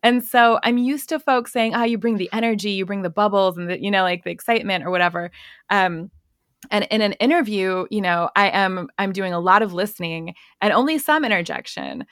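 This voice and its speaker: A woman guest on a podcast, chatting casually in a bubbly, energetic way, often laughing, with a voice others find relaxing. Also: medium pitch, a little fast, no room sound, clean studio sound. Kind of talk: casual interview chat.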